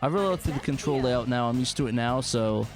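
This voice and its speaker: A man's voice: mid-range voice, fast. A man hosting a casual game chat, talking in an informal, conversational way. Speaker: show host. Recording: music playing behind the voice. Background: music.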